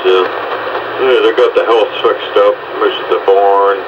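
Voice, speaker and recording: A man's voice: on the low side, fairly even intonation, measured pace, distant mic, lo-fi sound, background noise. A man chatting casually in a rambling, conversational way. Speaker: dog rescue volunteer chatting on air. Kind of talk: casual chat.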